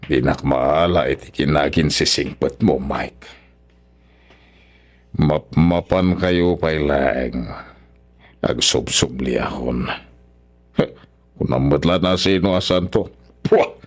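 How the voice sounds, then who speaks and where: A man's voice: very deep voice, takes it slowly, long pauses. A man with a Filipino accent acting a proud, stubborn, grumpy old father-in-law in a radio drama. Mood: straining, grumbling.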